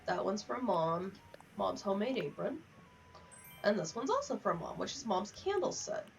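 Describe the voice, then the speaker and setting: Female game streamer, mid-pitched, wry and sweary casual chatter, slightly distant, muffled home mic. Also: big pitch swings, moderate pace, creaky, faint music behind. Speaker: livestream host. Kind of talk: casual gaming livestream chat, reading in-game letters aloud. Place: home office.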